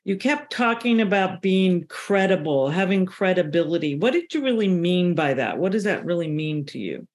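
A man with a notably high voice co-hosts a podcast. He asks his questions in a friendly, conversational way, pausing on small fillers. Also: very animated. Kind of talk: interview questions.

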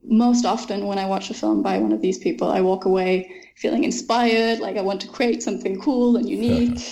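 A woman's voice: mid-range voice, animated, fairly quick, dark tone, poor audio. A young woman, an author answering interview questions thoughtfully and enthusiastically, with frequent ums.

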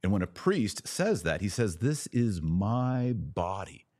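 A man hosting a podcast, giving opinionated religious commentary in an emphatic, declamatory manner with pauses between short phrases. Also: lowish voice, sing-song, a little mellow, dry recording.

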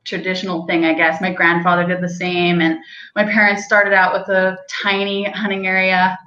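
A woman's voice: fairly low pitch, level tone, normal speed, bright, forceful tone, roomy sound, lo-fi sound. A young woman, a hunting guide and outfitter, telling stories in a casual, rambling way with lots of "like" and light laughter. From Canada. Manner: chatty, rambling, with frequent likes and laughs. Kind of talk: casual interview storytelling.

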